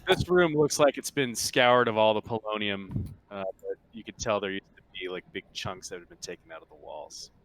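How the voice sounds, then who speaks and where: A man narrating a tabletop game casually as game master, explaining things in a halting, conversational way. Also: lowish voice, a bit bright, a little hiss or hum, low-quality recording.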